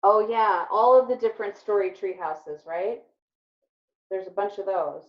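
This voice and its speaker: A woman's voice: medium pitch, measured pace. A woman teacher leading an online class discussion with children in an encouraging, affirming manner. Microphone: video call. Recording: dull, lo-fi video call sound.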